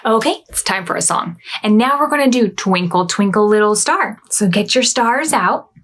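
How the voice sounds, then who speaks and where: A woman, a children's librarian, leading a preschool storytime, reading expressively and pausing to ask the children questions. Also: mid-range voice, animated, a bit bright. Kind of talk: preschool storytime read-aloud.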